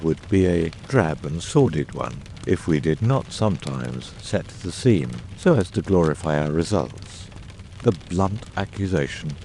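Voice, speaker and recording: A man voicing a grave, theatrical, masterful detective in a dramatised audiobook, with measured, eloquent and commanding delivery. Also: low-pitched, mellow, slight background noise, voice pushed down, heavily acted.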